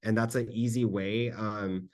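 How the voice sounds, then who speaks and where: A man's voice: on the low side, steady tone, measured pace. A man speaking as a panelist in a conference Q&A, explaining things conversationally and often checking for agreement. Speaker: panelist, school district communications staffer. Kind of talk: conference presentation Q&A, informal advice.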